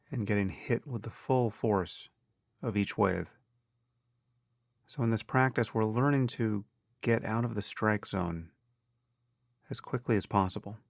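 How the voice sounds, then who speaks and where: A man guiding a meditation as a teacher, speaking calmly and deliberately in measured phrases with pauses. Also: on the low side, vocal fry, no room sound, studio quality. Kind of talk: guided meditation narration.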